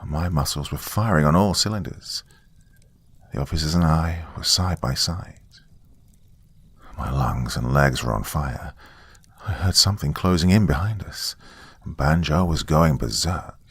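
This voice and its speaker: A man with a very low voice narrates a scary story in the first person. His delivery is suspenseful and deliberate, leaning on dramatic pauses, and the sound is close and very dry. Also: breathy edge, dry recording.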